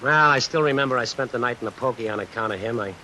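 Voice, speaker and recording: A man's voice: medium pitch, fast, pushed and bright, slight background noise, rough recording. A man acting a tough, vengeful drifter who narrates his own story in old-time radio suspense style, wry and slangy. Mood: wry, resolute. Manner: hard-boiled, wry, slangy. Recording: vintage radio recording under music, rain. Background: music and rain.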